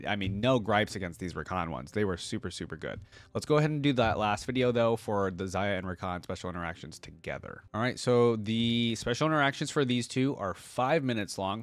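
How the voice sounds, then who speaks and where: A man's voice: lowish voice, no echo. A young man hosting a reaction video, giving casual, chatty commentary.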